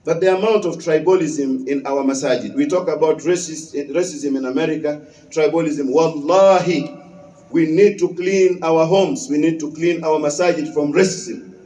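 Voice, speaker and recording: A man, preaching a Friday sermon as an imam. His voice sits high but sounds mellow, and he repeats his key phrases with emphasis. The mic is some distance away, so the sound carries through an echoing mosque hall. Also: normal speed. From West Africa.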